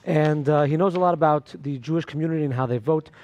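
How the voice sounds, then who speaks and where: A man co-hosting in the studio, briskly introducing a guest and asking him a question. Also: fairly high pitch, darkish tone, dry recording. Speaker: studio co-host.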